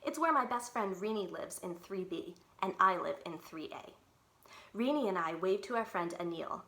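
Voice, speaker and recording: Female, bright medium-pitched voice. Expressive children's read-aloud, animated and clearly enunciated. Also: normal speed, poor audio. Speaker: librarian reading aloud to children.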